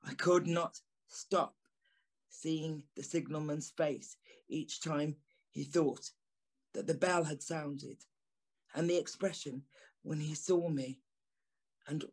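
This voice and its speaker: A man playing a pensive gentleman who narrates an eerie ghost tale in a measured, brooding, dramatic way. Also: fairly high pitch, husky, full character voice.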